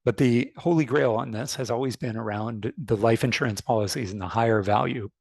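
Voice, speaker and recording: A man's voice: mid-range voice, a little fast, vocal fry, darkish tone, slightly rough, dry recording, clean studio sound. A man in his forties with a North American accent, giving a conversational webinar talk full of restarts and fillers. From North America, born in Canada, raised in the United States. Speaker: fintech speaker. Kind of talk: webinar presentation. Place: online call.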